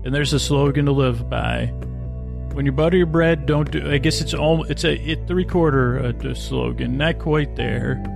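Creaky, meandering man hosting a bedtime podcast. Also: mid-range voice, over music. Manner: meandering, digressive, halting with self-interruptions; lulling, gentle. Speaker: podcast host. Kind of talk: rambling, soothing bedtime monologue.

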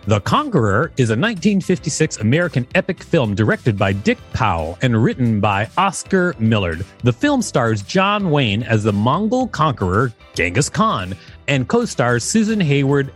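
A man co-hosting a podcast, reading an article aloud and making wry, joking asides. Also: mid-range voice. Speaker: podcast co-host. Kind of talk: reading aloud with casual commentary.

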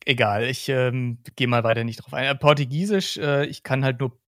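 A man's voice: medium pitch, fairly even intonation, moderate pace, no echo, clean studio sound. A man from Hesse in Germany chats casually as a podcast host, explaining things in a rambling way.

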